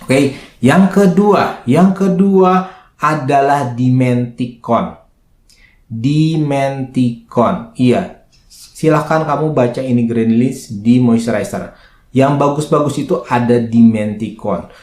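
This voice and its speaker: A man with a slightly hoarse voice gives an informal, chatty skincare lesson, asking himself questions and then answering them. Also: medium pitch, slow, a little mellow. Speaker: skincare educator and video host. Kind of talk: informal explainer to camera. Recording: roomy, echoing indoor sound.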